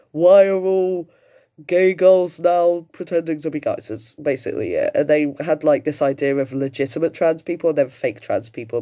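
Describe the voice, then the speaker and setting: Mellow-voiced British man, sweary and outspoken. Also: a little high. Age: late 20s. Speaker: commentator reacting to another person's video. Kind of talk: casual reaction commentary.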